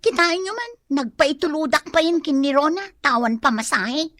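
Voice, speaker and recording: A woman's voice: high voice, lively intonation, normal speed, a bit bright, dry recording. A woman playing a boastful, evasive young working daughter in an Ilocano radio drama, whiny and defensive with an Ilocano accent. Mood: defensive, irritated.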